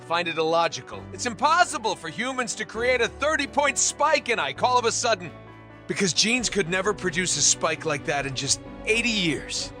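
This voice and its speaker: A man's voice: fairly high pitch, sharp tone. A man narrating an explainer video as a comic voice-over, playful and jokey, talking straight to the viewer.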